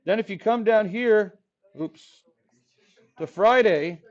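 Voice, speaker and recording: Playful, high-voiced, hoarse math teacher. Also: male voice, slow. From Texas Rio Grande Valley. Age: 40s. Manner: playful, jokey, talks to the class. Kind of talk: classroom lecture. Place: classroom. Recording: dull lo-fi classroom recording.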